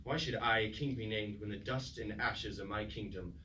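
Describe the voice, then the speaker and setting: A man's voice: lowish voice, moderate pace, sharp tone. An actor playing a grave, humbled, philosophical fallen robot king in stately stage-tragedy declamation. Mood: proud, sorrowful. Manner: stately, declamatory, archaic phrasing. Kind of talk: theatrical Greek-tragedy stage acting. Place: indoor room, staged reading at a university. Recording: distant, echoey recording, faint noise.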